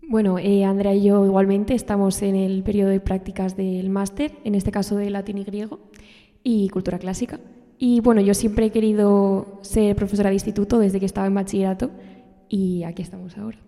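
A woman's voice: mid-range voice, level tone, a little fast, dark tone. A young woman with a Spanish accent from Spain, speaking casually as a guest in an interview. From northern Spain. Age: young adult. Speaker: student teacher, interview guest. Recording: long hall-like reverb.

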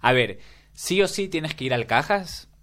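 A man's voice: fairly high pitch, animated, measured pace, fairly bright tone. A young man from Ecuador with an Ecuadorian accent, chatting casually as an interview guest, enthusiastic and often restarting his sentences.

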